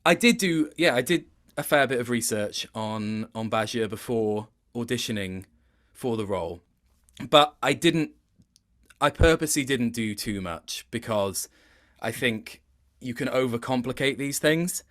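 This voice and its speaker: Halting, thoughtful man in an interview. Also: medium pitch, expressive, a bit bright. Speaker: interview guest, voice actor. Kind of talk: casual interview chat.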